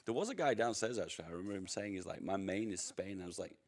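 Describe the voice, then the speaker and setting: A man's voice: low voice, animated, fast talker, darkish tone. A man from Yorkshire with a Yorkshire accent, chatting casually and rambling as he commentates a live strategy game stream. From Yorkshire, England. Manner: chatty, rambling, laughs easily. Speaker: livestream commentator.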